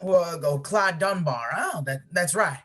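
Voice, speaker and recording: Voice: mid-range voice, measured pace, pushed and bright. An amateur actor playing a folksy, businesslike village man in charge, with a hesitant manner. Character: folksy, businesslike male village official running the lottery. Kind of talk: amateur one-act play acting. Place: filmed on a video call.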